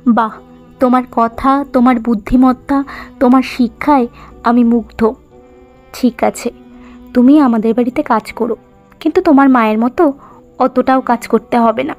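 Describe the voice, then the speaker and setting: A kindly, dignified lady of the manor in a cartoon, voiced by a woman. Her voice is high and emphatic, with benevolent authority. Music plays under slightly dull audio. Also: fairly even intonation, normal speed, pauses often, a little mellow, natural voice. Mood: approving, generous. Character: kindly, dignified landlord's wife, insistent benefactor. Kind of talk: cartoon moral-story voice acting. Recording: muffled lo-fi audio with music bed.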